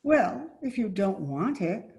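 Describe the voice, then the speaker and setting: A woman playing a genteel former fiancée in 1940s radio comedy style, coy and gently chiding. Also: medium pitch, very animated, slow pace, vocal fry, heavily acted. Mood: hospitable.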